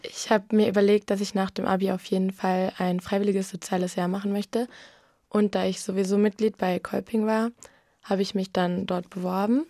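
A woman's voice: mid-range voice, flat delivery, normal speed, warm tone, no echo, studio quality. A young woman in her twenties, a student and former volunteer, answering interview questions in a plain, casual way. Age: 20s.

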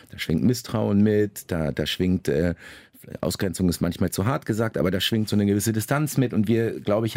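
A man with a low voice and a gravelly edge talks in a dark, mellow tone. He answers interview questions reflectively, often pausing and restarting his sentences. The sound is dry and close. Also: no echo. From Germany, Lower Saxony. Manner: reflective, measured, with many fillers and restarts. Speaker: novelist, interview guest.

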